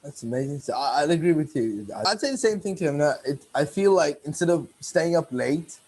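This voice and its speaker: A man's voice: on the high side, lively intonation, a little fast, darkish tone. Teen male interviewer, loose and rambling, self-correcting, casual. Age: teens. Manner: rambling, repeats and corrects himself.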